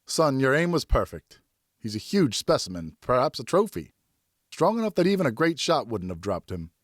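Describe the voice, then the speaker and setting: Audiobook role: man playing fatherly veteran hunter, reassuring guide, raised pitch, dry recording. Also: very animated, moderate pace, no room sound. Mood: encouraging. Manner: reassuring, instructive. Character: steady, encouraging father, seasoned hunter. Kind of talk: audiobook character acting.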